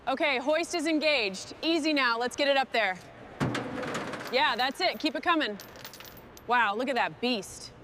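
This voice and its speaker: A woman's voice: high-pitched, unhurried, long pauses. A woman playing a determined mechanic who leads a machinery crew, speaking in terse, focused muttering and commands. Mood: determined, commanding. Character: determined hands-on female mechanic leading a heavy machinery restoration crew. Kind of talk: scripted workplace dialogue with muttering and commands. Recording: loud machinery and vehicle noise behind.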